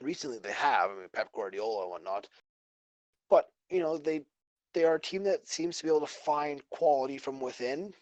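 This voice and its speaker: A man's voice: mid-range voice, animated, a little fast, a little mellow, no echo. Rambling podcast man, dry sound. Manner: rambling, lots of "I mean". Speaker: co-host. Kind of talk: casual podcast chat.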